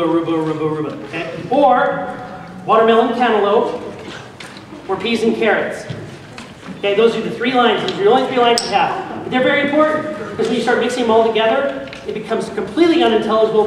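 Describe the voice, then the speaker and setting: A man presenting to an audience, giving informal live instructions for a recording session. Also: high-pitched. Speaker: presenter directing an audio drama recording. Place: large convention hall. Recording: echoey noisy hall, distant sound. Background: room noise and ambience.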